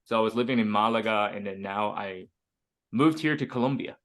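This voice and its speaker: A man's voice: fairly low pitch, a little fast, fairly bright tone. Man from Hong Kong, thirties, video-call English teacher, loose and chatty with lots of "like" and "uh". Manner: chatty, many fillers and restarts. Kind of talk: online group lesson.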